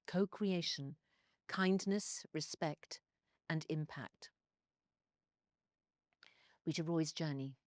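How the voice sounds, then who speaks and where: Low-voiced woman reading a formal introduction. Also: no echo, clean studio sound. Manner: steady formal delivery, quiet listening murmurs. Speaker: guest host, philanthropist. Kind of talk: scripted introduction then interviewing.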